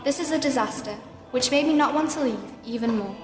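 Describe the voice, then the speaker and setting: Young woman giving a speech in an echoey hall. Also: high-pitched. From South Africa. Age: late teens. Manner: formal, read from a script, measured. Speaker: deputy school captain. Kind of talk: prepared prize-day speech. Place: large school hall at a ceremony. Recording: echoey hall with music behind.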